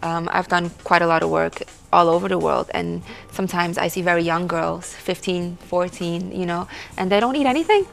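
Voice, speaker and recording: A woman in her thirties from Suriname, Dutch her first language, sits as a guest in a relaxed chat. Her voice sits low with a gravelly, fry-edged texture. She rambles warmly through ums and restarts and laughs often. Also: moderate pace, hardly any pauses. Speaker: guest, model. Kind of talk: casual interview chat.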